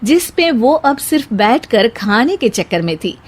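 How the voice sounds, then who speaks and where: High-pitched woman narrating a story, unhurried. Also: sing-song, slightly rough. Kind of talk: scripted cartoon story narration.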